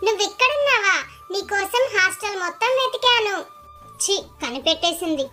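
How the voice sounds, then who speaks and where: Very high, bright chatty schoolgirl. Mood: outwardly friendly, then sly, scheming. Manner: childlike, gossipy. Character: chatty, competitive hostel schoolgirls, scheming friends. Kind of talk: acted cartoon story dialogue.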